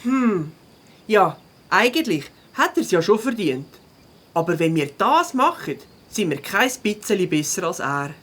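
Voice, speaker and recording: A woman voicing a fair-minded young beaver schoolchild in a children's audio drama, earnest and expressive, with a Swiss German accent. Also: medium pitch, a little slow. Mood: thoughtful, hesitant.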